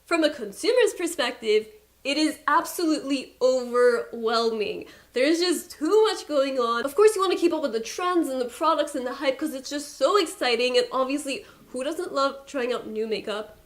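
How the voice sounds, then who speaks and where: A woman's voice: high voice, expressive, moderate pace. A young woman with a Persian accent, a beauty YouTuber talking casually to camera, chatty and exasperated with playful asides.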